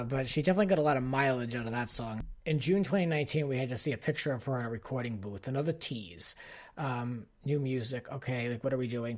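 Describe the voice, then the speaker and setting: Guy podcaster ranting like an exasperated fan, chatty, says like a lot, mid-range voice. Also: medium pitch. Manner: exasperated, opinionated fan, heavy use of "like". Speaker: podcast host. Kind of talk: casual pop-culture commentary rant.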